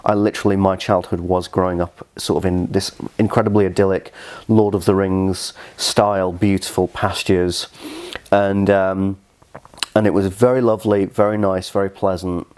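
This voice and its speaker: Young male interview guest, English accent, deep voice, casual conversational delivery. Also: flat delivery, normal speed, darkish tone. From southern Scotland. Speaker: interview guest, fragrance reviewer.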